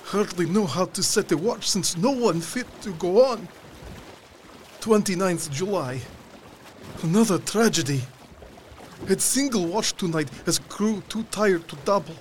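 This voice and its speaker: An actor playing a weary, fearful sea captain in an audio drama, reading his log in grave, halting, clipped phrases. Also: sits high, a little hiss or hum. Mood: exhausted. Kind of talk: dramatic first-person log narration.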